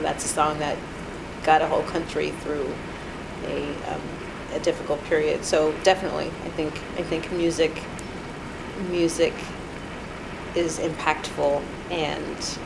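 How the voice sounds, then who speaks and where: Thirtysomething woman, low voice, slow and halting, lots of ums, ac humming in the background. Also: level tone, vocal fry, background noise. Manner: hesitant, many fillers and restarts. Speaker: alumna being interviewed. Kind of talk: reflective interview. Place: apartment.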